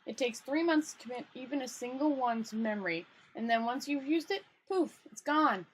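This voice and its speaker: A woman's voice: high-pitched, animated, normal speed, a little hiss or hum, low-quality recording. A woman voicing an irritable, hopeless male failed wizard in a grumbling, fretful storybook read-aloud. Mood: gloomy.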